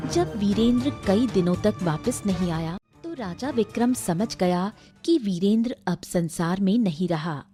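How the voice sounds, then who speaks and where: Female narrator for animated tales: mellow, relaxed tone, scripted storytelling over soft music. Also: medium pitch, a little slow, few pauses.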